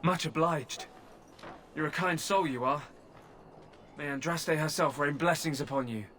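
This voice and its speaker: A wry, wheedling caged prisoner. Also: male voice, a little high, measured pace, a bit bright, a little hiss or hum. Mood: cheeky. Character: hungry, flirtatious male prisoner, a deserter. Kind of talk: video game voice acting.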